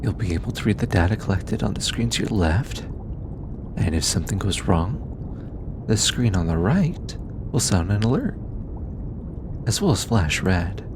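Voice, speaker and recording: A man performs a studious, gentle alien scientist in an intimate audio roleplay. His medium-pitched voice is soft-spoken and very expressive, with slow, patient phrasing that turns hesitant when he is flustered. A clear low rumble of noise sits behind him. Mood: calm, focused. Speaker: voice actor. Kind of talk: intimate scripted audio roleplay, addressing the listener.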